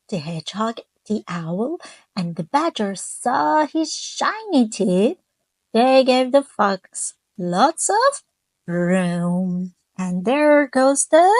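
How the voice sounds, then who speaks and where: A woman reading a children's picture book aloud, gently stopping to ask her young listeners questions. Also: medium pitch, expressive, slow pace, no room sound. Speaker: children's storyteller.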